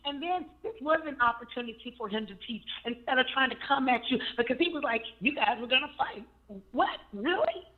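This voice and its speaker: Hoarse woman caller on a phone line. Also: high-pitched, animated, brisk. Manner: blunt, indignant. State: hoarse after surgery. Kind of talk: opinionated phone-in commentary. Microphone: phone call.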